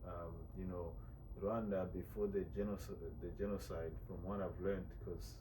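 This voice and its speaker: A man in his thirties with a very low, flat voice, talking in an unhurried, thoughtful way. He sounds set back from the mic in an echoey room. A steady background hiss runs under his speech. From Africa. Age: 30s. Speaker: guest. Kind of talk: casual podcast conversation. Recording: roomy sound from a distant mic with noise.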